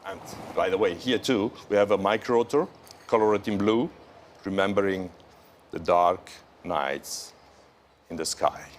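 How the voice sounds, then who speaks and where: A man presenting watches on a morning show, speaking carefully and admiringly with pauses between phrases. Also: low-pitched. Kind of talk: live show product walkthrough.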